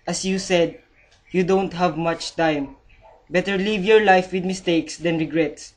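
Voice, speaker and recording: Man playing a caring, slow-spoken father. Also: fairly high pitch. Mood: gentle. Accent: Filipino. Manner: slow, earnest, advising. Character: caring father of a grown son, encouraging. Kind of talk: amateur audio drama acting.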